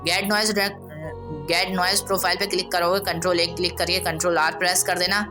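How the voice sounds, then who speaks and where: A man's voice: sits high, level tone, a little fast. A man giving an informal, instructional screen tutorial in a casual way. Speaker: audio editing tutorial presenter. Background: music.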